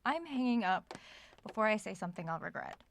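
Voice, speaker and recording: An actress playing a brittle, defensive young woman novelist in a horror audio drama, with clipped, strained delivery and a creaky, gravelly voice. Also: mid-range voice, animated, brisk. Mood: irritated, defensive. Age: young adult. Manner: clipped, strained replies to her mother.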